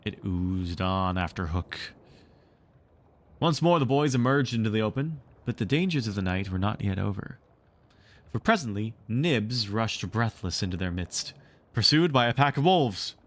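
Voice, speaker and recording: A man reading a story aloud as an expressive narrator, shaping each sentence for listeners. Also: fairly low pitch, moderate pace.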